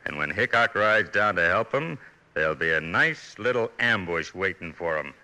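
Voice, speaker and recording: Sly western outlaw boss, deep, hard bright edge, American Western drawl, calculating, radio drama. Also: male voice, lively intonation, moderate pace, full character voice, poor audio. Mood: scheming. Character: sly, scheming male western villain boss. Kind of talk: 1950s radio western acting.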